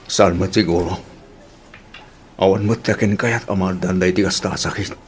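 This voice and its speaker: A man's voice: sits low, steady tone, moderate pace, slight background noise, close to an everyday voice. A man acting in an Ilocano radio drama as an earnest, emotional family man, with an Ilocano accent. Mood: subdued. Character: earnest family man, by turns pleading son and father.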